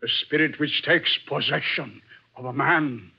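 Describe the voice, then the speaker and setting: A stern older chief in a vintage radio horror play. He speaks very deliberately, with grave, ominous authority. The sound is thin and phone-like. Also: medium pitch, gravelly, slightly rough, full character voice. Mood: grave, accusing. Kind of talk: old-time radio drama acting.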